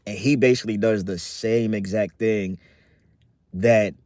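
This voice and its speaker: A man in his thirties giving a casual personal update as a vlogger, rambling with many fillers and restarts in a relaxed, upbeat way. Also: on the low side, measured pace, dry recording. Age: 30s.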